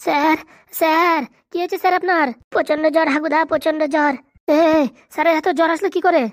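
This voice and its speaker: Casting: female voice for eager male sidekick in comic cartoon, fretful rapid chatter, dry close sound. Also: very high voice, steady tone, no room sound. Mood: worried. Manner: deferential, fretful. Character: eager, fussing, devoted male helper of a schoolteacher.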